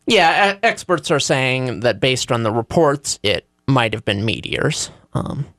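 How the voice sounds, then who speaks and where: A man's voice: medium pitch, lively intonation, measured pace, a bit bright, no room sound. Male radio co-host, mid register, wry casual news chat, dry studio-style sound.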